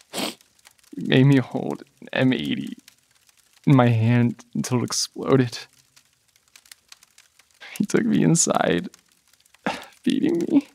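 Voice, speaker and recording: Man acting as a gravelly, wounded teenage football player. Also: medium pitch, darkish tone, no room sound, heavily acted. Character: abused teenage male football player, tough but wounded. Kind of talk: dramatized audiobook acting.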